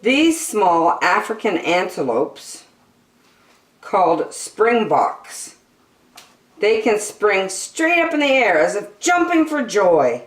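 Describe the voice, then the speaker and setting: Slow, low-voiced woman reading to children, very animated. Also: echoey room. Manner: expressive, chatty asides and questions to child listeners. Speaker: children's storytime host. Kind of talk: read-aloud picture book narration for young children.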